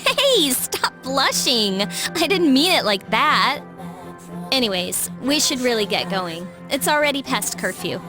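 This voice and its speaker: A woman's voice: sits high, sing-song, a little slow. A young American woman voicing an earnest, resolute teenage schoolgirl in an anime game. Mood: playful. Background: music.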